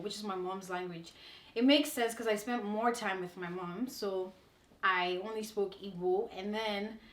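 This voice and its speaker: A woman's voice: a little high, echoey room. A young woman from Nigeria, the guest, talking casually and playfully about her upbringing, laughing often. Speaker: interview guest. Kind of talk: casual conversational interview. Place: indoor room, moved to Canada.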